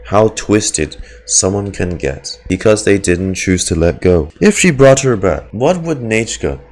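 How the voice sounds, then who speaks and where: Low-voiced man reacting to a game. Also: very animated, measured pace, darkish tone. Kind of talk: casual reaction commentary.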